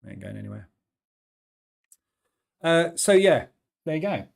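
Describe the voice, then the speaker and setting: A man's voice: on the high side, big pitch swings, a little slow, gravelly. A man hosting a live trading stream, talking casually and explaining things plainly, often checking in with "yeah.". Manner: chatty, explains plainly with "yeah" tag questions.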